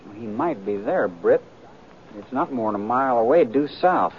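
Actor as earnest rustic cowboy, muffled sound. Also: male voice, medium pitch, expressive, moderate pace, natural voice. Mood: helpful. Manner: plain, rustic, earnest speech. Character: earnest inexperienced cowboy companion on a manhunt. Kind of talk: 1940s-50s radio western acting. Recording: muffled old radio recording, faint noise.

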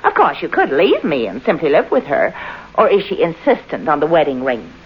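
An actress playing a bitter, sarcastic wronged wife in an old-time radio drama, needling with cutting politeness. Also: female voice, low voice, wildly swooping pitch, brisk, husky, exaggerated voice. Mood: icy, sarcastic. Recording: dull, lo-fi old radio recording.

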